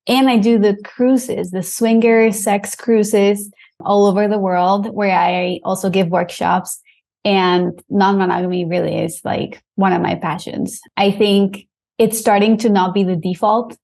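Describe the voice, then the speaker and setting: A woman from Costa Rica speaks as a sexologist guest on a podcast, her mid-pitched voice full of vocal fry. She talks casually and upbeat, explaining things plainly. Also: steady tone, unhurried, darkish tone. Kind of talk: casual interview talk.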